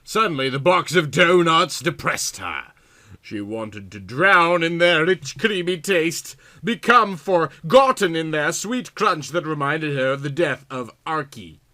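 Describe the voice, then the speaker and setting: A man's voice: fairly high pitch, animated, a little slow, sharp tone. A man reading a story aloud in a theatrical, exaggerated, melodramatic comic style. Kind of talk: melodramatic comic audiobook narration.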